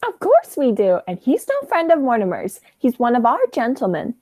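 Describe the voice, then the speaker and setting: A dotty, sweet old aunt in a radio play, acted by a woman, with a high voice that swings wildly. She is prim and easily indignant, recorded very dry. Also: huge pitch swings, normal speed, darkish tone, no room sound. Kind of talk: radio play acting, high school theatre.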